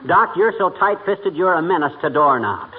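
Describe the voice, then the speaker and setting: A man playing a blustering, wisecracking middle-aged husband in 1940s radio comedy, folksy and quick to take offence. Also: on the high side, expressive, close to an everyday voice. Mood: indignant. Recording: tinny, noisy vintage radio recording with clicks.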